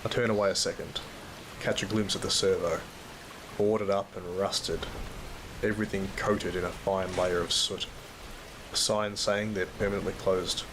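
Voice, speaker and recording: An actor playing a weary young field worker who narrates a bleak audio drama in a sombre, literary manner. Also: male voice, low-pitched, little pitch movement, moderate pace, noisy background, natural voice. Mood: wistful. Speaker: narrator and lead character. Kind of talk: first-person audio drama narration and dialogue.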